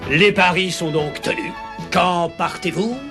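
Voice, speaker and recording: An actor playing a haughty, scornful gentlemen's club member in a cartoon, pompous and emphatic. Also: male voice, high-pitched, wildly swooping pitch, a little slow, creaky, over music, heavily acted. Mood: challenging. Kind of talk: French cartoon voice acting.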